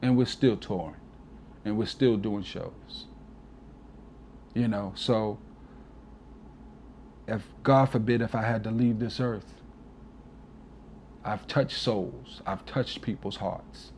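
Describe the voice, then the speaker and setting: A man's voice: on the low side, slow, long pauses, a little mellow, a little hiss or hum. A man in his forties, a DJ guest on an interview, talking earnestly and from the heart, often adding "you know". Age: 40s. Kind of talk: casual reflective interview talk.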